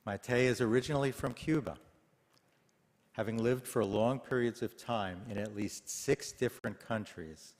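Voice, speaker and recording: A man introducing the speaker at a congregation's service, in a warm, formal way. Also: medium pitch, normal speed. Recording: long hall reverb.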